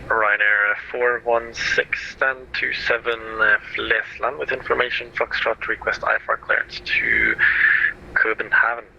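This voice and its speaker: A man's voice: medium pitch, expressive, unhurried, gravelly, sharp tone. A man speaking as an airline pilot, making procedural requests and readbacks over aviation radio. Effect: aviation radio transmission. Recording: muffled, noisy aviation radio channel.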